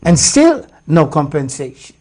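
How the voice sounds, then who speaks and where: A man's voice: fairly high pitch, big pitch swings, a little slow, darkish tone. A man in his sixties from Jamaica, a guest speaking reflectively and hesitantly about his life. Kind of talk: casual interview conversation.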